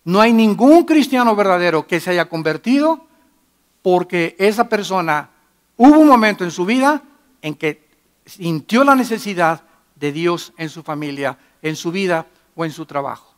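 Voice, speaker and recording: A middle-aged man preaching a sermon in a church, emphatic and moving between loud and hushed delivery. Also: sits high, expressive, normal speed. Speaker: pastor.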